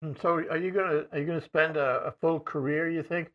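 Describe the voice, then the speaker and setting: A man interviewing soldiers, asking questions in a halting way with frequent restarts and throat-clearing. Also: on the high side, fairly even intonation, lo-fi sound.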